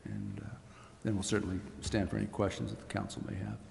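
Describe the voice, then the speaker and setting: A man with a low, gravelly voice introduces a guest at a council meeting. He speaks formally and in a measured way, with ums between phrases. The sound is roomy, with a long echo from the chamber and faint noise behind it. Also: very animated, a little mellow.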